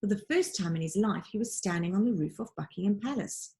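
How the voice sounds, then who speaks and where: Female storybook narrator, mid-pitched, teacher reading aloud to children with expressive, deliberate dramatic emphasis.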